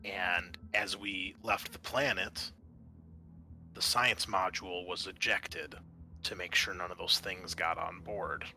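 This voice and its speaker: Bright-voiced male game master. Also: low-pitched, fairly even intonation. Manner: halting, thinking aloud as he narrates. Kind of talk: improvised tabletop game narration.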